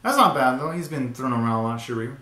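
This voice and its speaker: Animated young man reacting, very swingy pitch. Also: fast talker. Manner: excitable, slangy exclamations. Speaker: reaction video host. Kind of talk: casual live reaction commentary. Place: small bedroom at home, indoors. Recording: roomy, echoey home recording.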